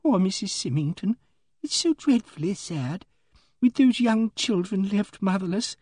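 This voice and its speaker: A man's voice: high voice, lively intonation, a little slow, a little mellow, slightly rough, no room sound, clean studio sound, pitched above the performer's own voice, exaggerated voice. A man voicing a timid, fussy elderly spinster lady with a genteel, fluttering, reproachful manner, in an audiobook. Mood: fretful. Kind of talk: audiobook character voice.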